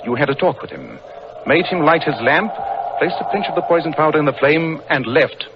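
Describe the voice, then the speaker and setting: Through heavy noise on a muffled old radio recording comes a British detective, fairly high-voiced and very animated. He is commanding and coolly deductive, with crisp, theatrical authority. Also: a little fast. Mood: coolly accusing. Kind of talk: 1940s radio drama acting.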